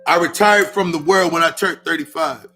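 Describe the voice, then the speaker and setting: A man's voice: a little high, normal speed, bright, forceful tone, faint music behind. A man in his thirties giving a casual spiritual talk, swearing often and repeating lines for emphasis. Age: 30s. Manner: profane, repetitive, emphatic. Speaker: spiritual speaker.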